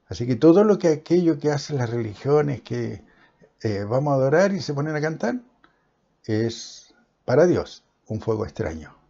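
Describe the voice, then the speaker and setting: A man preaching a Bible-teaching sermon in Spanish, speaking with emphasis and deliberate pauses. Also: mid-range voice, dark tone. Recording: dull lo-fi recording.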